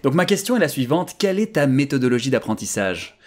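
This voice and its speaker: A man's voice: medium pitch, sing-song, a little mellow. A man hosting an interview, asking questions and reacting eagerly to the answers. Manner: enthusiastic, reacts with quick approval. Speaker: interviewer, host.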